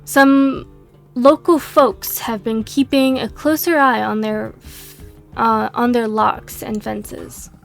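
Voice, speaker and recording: A laid-back female monster hunter read by a woman, with a higher voice delivered slow and unhurried. The voice has a gravelly, fried edge and sounds a little worn, as if it is going hoarse. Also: steady tone, faint music behind. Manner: reads dialogue aloud in her own plain voice. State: losing her voice.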